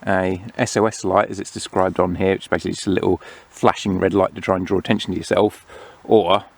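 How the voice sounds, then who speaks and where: A man giving a casual, unhurried hands-on product review, explaining each feature as he goes. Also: low-pitched, level tone. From United Kingdom. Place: outdoors among greenery. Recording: clear outdoor background noise.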